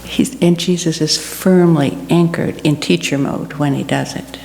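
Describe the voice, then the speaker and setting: A woman giving an earnest, deliberate personal reflection to a church congregation. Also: low voice, fairly even intonation, darkish tone. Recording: echoey hall with clear background noise.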